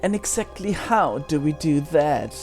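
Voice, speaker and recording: A woman's voice: low voice, lively intonation, measured pace, a little mellow, faint music behind. A woman playing an arrogant, spoiled young schoolboy bully in an audio drama, speaking in a haughty, mocking manner. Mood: snide.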